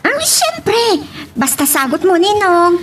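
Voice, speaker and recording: A woman with an Ilocano Filipino accent playing an excited, affectionate little daughter in an audio drama. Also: very high voice, takes it slowly, heavily acted. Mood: delighted. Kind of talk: Ilocano radio-style drama acting.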